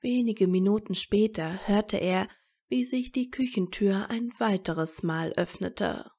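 A woman narrating scripted fan fiction as a story, in a measured storytelling manner. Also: mid-range voice, darkish tone.

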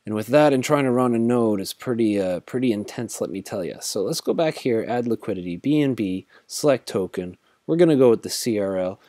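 A man at his desk talking through a screen recording in a relaxed, rambling way, full of ums and half-finished thoughts. He sounds tired. His voice sits at an ordinary middle pitch, and the sound is close and dry. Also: a little fast, few pauses, no room sound. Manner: rambling, thinks aloud with many fillers. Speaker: crypto tutorial host. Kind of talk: casual screen-recorded walkthrough.

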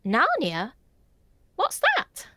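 A man's voice: very high voice, huge pitch swings, very slow, sharp tone, dry recording, pitched above the performer's own voice, exaggerated voice. A man reading a story, voicing a polite, curious young girl in a raised, lifted voice, plain and earnest. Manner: plain, earnest, a little puzzled.

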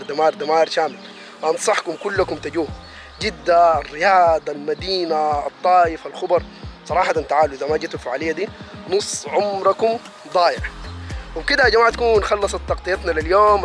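A man vlogs about a city event. He chats casually and enthusiastically, speaking straight to his viewers. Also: a little high. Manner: rapid, enthusiastic, addresses viewers directly. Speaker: vlogger reviewing a city event.

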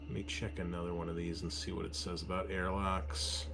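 A man's voice: sits low, level tone, fairly quick, vocal fry, noisy background. A man hosting a tabletop game as game master, chatting casually in a blunt, joking way.

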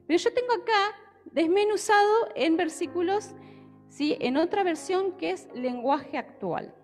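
A young Argentine woman preaches into a handheld mic, her high voice swooping up and down as she talks with her listeners. Her words ring out with the long reverb of a church hall, with soft music faint behind her. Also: slow. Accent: Argentine Spanish. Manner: lively and conversational, often checking with listeners. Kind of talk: sermon with Bible reading. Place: church hall with a stage, indoors.

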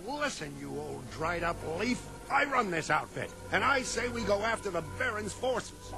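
High creaky male voice, feisty bossy sage, blustery, game cutscene with music. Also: very animated, normal speed, heavily acted. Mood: argumentative. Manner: blustery, argumentative.

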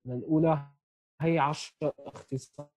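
Young male tutor, Syrian accent, mellow breathy tone, patient explainer recorded at home on a headset. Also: fairly high pitch, fairly even intonation, brisk. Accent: Syrian Arabic. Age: 20s. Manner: explains step by step, checks in with "okay?" often. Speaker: sign language teacher. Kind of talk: online lesson. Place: small room at home, indoors. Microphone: earphone headset mic on a video call.

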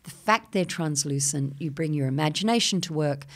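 An older Australian woman, a filmmaker appearing as a guest, talking in an animated way and gesturing in a small studio with a broadcast mic. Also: low-pitched, normal speed. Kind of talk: casual interview chat. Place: small studio set made up as a video store. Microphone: broadcast mic on a boom arm.

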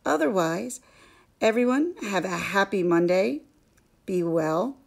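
A woman in her thirties, a vlogger and mother, chatting casually in a scratchy, hoarse voice, rambling and laughing often. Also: medium pitch, lively intonation, slow pace. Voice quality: scratchy, gravelly voice. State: hoarse from allergies. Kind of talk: casual chat to camera.

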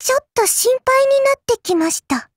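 Small cute spirit girl for an anime game: extremely high pitch, sly and teasing, polite but smug, dry studio sound. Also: animated, moderate pace, dry recording. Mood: chatty, polite. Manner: polite, coy, teasing, cutesy. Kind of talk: anime game character voice lines.

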